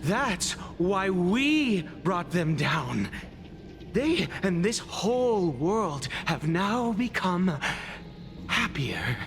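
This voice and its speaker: High-voiced grandiose hero captain. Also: male voice, sing-song, extremely slow, music in the background, exaggerated voice. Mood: serene conviction. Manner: grandiose, declamatory. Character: zealous, theatrical vigilante hero captain preaching happiness.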